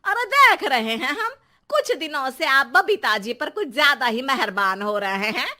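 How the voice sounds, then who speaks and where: A woman playing a sharp-tongued, suspicious cartoon wife, bossy and sarcastic. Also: extremely high pitch, sing-song, a little slow, exaggerated voice. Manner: sarcastic, scolding. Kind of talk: comic cartoon dialogue.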